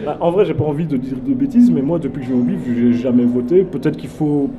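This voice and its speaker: A man's voice: medium pitch, fast, crowd noise. A man from Belgium, giving a blunt, slangy film review as a guest at a festival. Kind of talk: casual film review chat.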